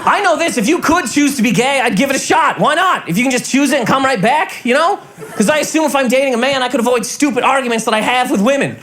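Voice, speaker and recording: A young man performing stand-up comedy in a club, with a high-pitched, boyish voice and an animated, shouty delivery. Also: quick pace. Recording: live club recording with crowd noise.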